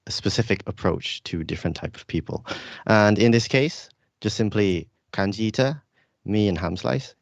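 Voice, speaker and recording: A man's voice: low-pitched, creaky, no echo, muffled recording. A man co-hosting a podcast, asking a long question hesitantly and searching for words. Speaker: podcast co-host. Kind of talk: casual podcast conversation.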